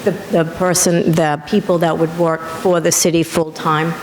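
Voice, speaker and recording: Flat, hedging council member. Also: mid-range voice, moderate pace. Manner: hedging, tentative. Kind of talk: meeting discussion. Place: council chamber.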